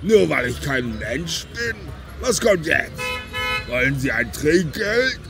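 An actor playing a drunk, mocking, bitter non-human vagrant with a gravelly, drawling voice in an audio drama. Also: male voice, on the high side, sing-song, takes it slowly, heavily acted. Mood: indignant. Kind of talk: German audio drama acting.

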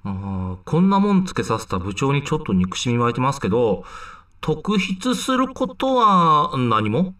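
A man playing a reluctant, flippant high-school newcomer in a comedic voice drama, protesting casually and sarcastically. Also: big pitch swings, unhurried, a bit bright. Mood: deadpan, puzzled. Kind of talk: comedic anime-style voice drama acting. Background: background music.

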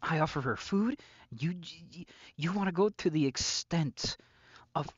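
A man playing an obsessive, unstable captor and lover in an intimate audio roleplay, halting and brooding, swinging between pleading and threat. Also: a little high, lively intonation, breathy edge, no room sound, dull audio. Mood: bitter, possessive. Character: obsessive, unstable male yandere captor, menacingly tender lover.

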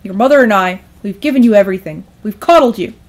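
A woman voicing a stern, patient father who lectures in a measured way, in a storybook tale. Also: medium pitch, lively intonation, lightly acted. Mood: stern lecturing. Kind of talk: acted storytelling dialogue.

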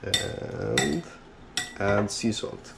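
Slow-talking man cooking in his kitchen. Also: fairly low pitch, creaky, very noisy. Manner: relaxed, unhurried, talks while chopping and mixing. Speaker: cooking vlogger. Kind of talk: casual cooking commentary. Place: small home kitchen, indoors. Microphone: head-mounted camera, first-person view.

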